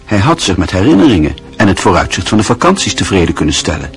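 A man with a fairly low voice reads the narration of a radio play. His delivery is measured and literary, and soft music plays faintly behind him. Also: sing-song.

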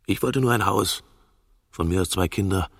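An actor playing a weary, stubborn middle-aged husband in a radio drama, husky and creaky, terse and sardonic. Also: male voice, deep voice, normal speed, a bit bright, no room sound, studio quality, heavily acted. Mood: wistful, stubborn. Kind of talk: intimate modern radio drama dialogue.

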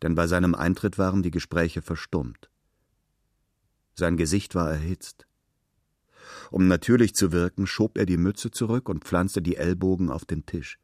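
A man's voice: low voice, fairly even intonation, normal speed, a little mellow, no room sound, clean studio sound. A man reading literary fiction aloud as a scripted narration.

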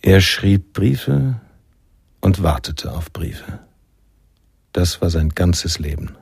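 Reflective noir narrator, low voice, husky, scripted radio play. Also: male voice, expressive, takes it slowly, a little mellow, dry recording, clean studio sound, full character voice. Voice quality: deep, gravelly. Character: male first-person narrator of a noir jazz tale, reflective.

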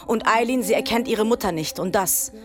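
A woman's voice: mid-range voice, a little fast, close to an everyday voice. An actress playing a weary young migrant mother who speaks her confiding prayers in a radio drama, with music behind her.

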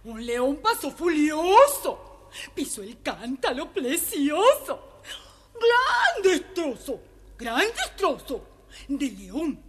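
An actress playing a gullible, excitable young servant girl in a musical children's story, speaking in theatrical singsong rhymes. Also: high voice, drawn-out words, full character voice. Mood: excuse-making. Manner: theatrical, singsong rhyming delivery.

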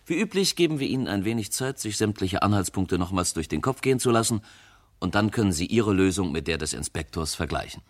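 A male radio presenter with a low voice and animated intonation. He speaks formally and in measured phrases to the audience, in the manner of a 1960s crime-play announcer. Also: no room sound, clean studio sound.

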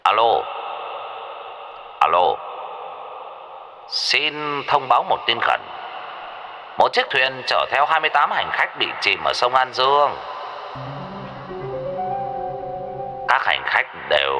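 Man doing village chief, loudspeaker announcement, formal, low voice, noisy broadcast. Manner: formal public announcement, repeated calls.

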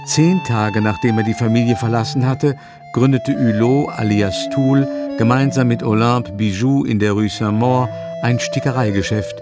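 A man reading scripted literary narration for a radio drama in a measured storytelling manner. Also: mid-range voice, steady tone. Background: guitar-like instrumental music.

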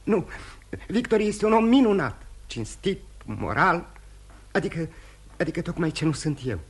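An actor playing a remorseful, courteous, dissolute gentleman husband in theatrical radio drama style, candid and halting with repetitions. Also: high-pitched, very animated, slightly rough, heavily acted. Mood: earnest, candid. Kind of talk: mid-century Romanian radio theatre acting.

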